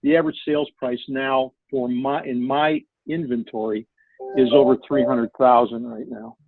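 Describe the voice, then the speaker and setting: A man's voice: medium pitch, steady tone, moderate pace. Man on a very tinny phone line. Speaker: real estate agent. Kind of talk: casual chat on a call.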